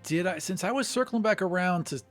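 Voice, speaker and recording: Casual tabletop player, medium pitch. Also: lively intonation, brisk, faint music behind. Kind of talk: casual game chat.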